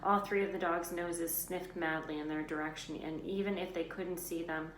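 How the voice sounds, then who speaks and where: A woman reading a children's novel aloud as an expressive storyteller. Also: fairly low pitch, normal speed. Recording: roomy echo, faint background noise.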